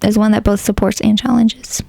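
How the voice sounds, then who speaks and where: A woman with a hoarse, crackly voice narrating a pick-a-card tarot reading in a casual, rambling way, with frequent ums and likes. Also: medium pitch, level tone, normal speed, dark tone, no room sound. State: hoarse, losing her voice. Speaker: tarot reader.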